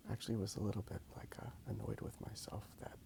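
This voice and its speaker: A man whispering softly in a breathy, gravelly voice, rambling casually and a little hesitantly in an ASMR style. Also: fairly low pitch, flat delivery, fast talker. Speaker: ASMR video creator. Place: outdoors in a park.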